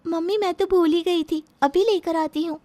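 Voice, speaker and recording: A woman's voice: very high voice, fairly even intonation, normal speed, dark tone, voice pushed up. A woman voicing an anxious, apologetic young adult daughter in a cartoon story.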